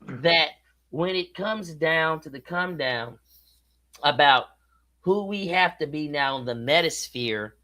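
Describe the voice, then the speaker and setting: A man's voice: a little high, animated, slow, pushed and bright. A man guesting on a podcast as a rapper, talking emphatically and driving his points home. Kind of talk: casual interview chat.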